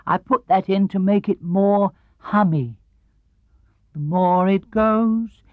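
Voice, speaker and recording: A man voicing an amiable, slow-witted toy bear from a children's storybook, simple, deliberate and earnest. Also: high voice, dry recording, phone-quality sound, pitched above the performer's own voice. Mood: explaining.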